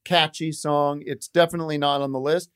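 A man's voice: on the high side, little pitch movement, a little fast, a bit bright, clean tone, no room sound. A man guest hosting a radio call-in show, chatting loosely and wryly with studio guests. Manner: loose, laughing, wry. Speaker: guest host. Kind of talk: casual studio chat. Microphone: studio microphone.

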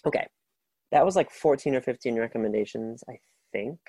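A man's voice: mid-range voice, animated, fairly quick, gravelly, mellow, slightly rough, dry recording. A man hosting a book podcast alone, talking casually and enthusiastically, with many fillers and restarts. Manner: rambling, filler-heavy, enthusiastic. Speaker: podcast host. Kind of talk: casual solo book recommendations.

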